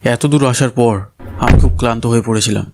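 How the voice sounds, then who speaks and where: A man's voice: mid-range voice, fairly even intonation, quick pace, very noisy. A man narrating a scripted first-person story. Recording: loud music bed behind voice. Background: loud music.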